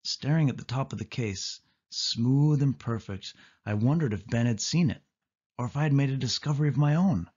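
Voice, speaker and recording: A man narrating a suspense story in the first person, with expressive, dramatic delivery. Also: medium pitch, normal speed, breathy edge, lo-fi sound. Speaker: audiobook narrator.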